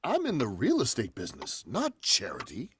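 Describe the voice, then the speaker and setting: A man's voice: medium pitch, huge pitch swings, normal speed, background noise. A man playing a smug real estate agent, condescending and a little hesitant, in 1940s radio drama style. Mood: dismissive.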